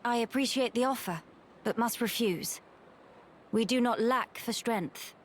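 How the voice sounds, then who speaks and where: An actress playing a dignified young empress in a video game, firm and gracious, with regal, formal phrasing. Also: female voice, a little high, a little slow, a little hiss or hum, natural voice. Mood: composed, questioning.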